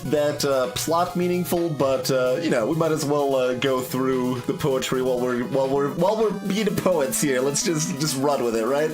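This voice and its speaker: A man's voice: mid-range voice, normal speed, hardly any pauses. A man giving casual, analytical game commentary and reading poems aloud, hesitating as he thinks things through. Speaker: video game streamer doing a blind playthrough. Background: soft plucked guitar music.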